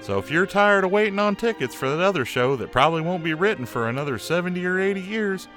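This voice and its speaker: A man's voice: high voice, fast, faint music behind. A man playing a showy, eager theatre producer plugging his show in a spoof 1930s radio commercial. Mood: upbeat, boastful. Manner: animated salesman patter.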